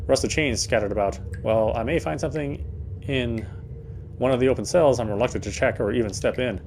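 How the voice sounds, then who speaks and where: A man's voice: mid-range voice, brisk, a little mellow, a little hiss or hum. A man reading a horror game's first-person narration aloud in a dramatic storytelling style. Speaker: let's player reading game text aloud.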